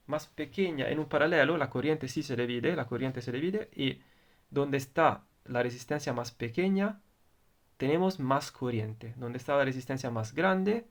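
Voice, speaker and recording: Gravelly man with an Italian accent. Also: mid-range voice. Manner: repeats and rephrases points, restarts often. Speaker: teacher. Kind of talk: explaining electronics on video.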